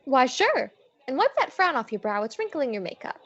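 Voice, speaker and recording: Woman as wisecracking female private eye, slightly high pitch, old radio drama delivery, dull lo-fi recording. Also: very animated, fairly quick, fairly bright tone, full character voice. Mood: teasing. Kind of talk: old-time radio detective acting and narration. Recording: dull lo-fi audio.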